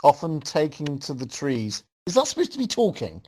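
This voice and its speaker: A man's voice: fairly high pitch, wildly swooping pitch, moderate pace, darkish tone, husky. A man reading a story aloud casually as host, breaking off to make joking, mocking comments. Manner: breaks off to mock the writing.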